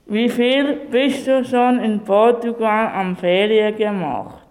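An interviewer asking simple questions in a slow, deliberate way. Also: medium pitch, darkish tone. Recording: long reverb.

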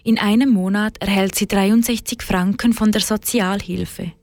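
A woman's voice: mid-range voice, normal speed, no echo. Woman narrating a news report, dry sound. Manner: even, reported speech. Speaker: radio reporter.

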